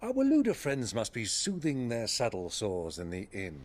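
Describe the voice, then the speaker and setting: An actor playing a jovial, theatrical middle-aged noble uncle in a video game, grandiose and wry. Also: male voice, mid-range voice, wildly swooping pitch, moderate pace, darkish tone, a little hiss or hum. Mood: wry, playful.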